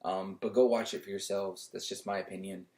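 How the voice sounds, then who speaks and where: Indignant man ranting, low flat voice. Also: brisk. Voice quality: loud voice. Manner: indignant, repetitive, restarts often. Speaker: YouTuber. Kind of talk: casual opinion rant to camera. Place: home.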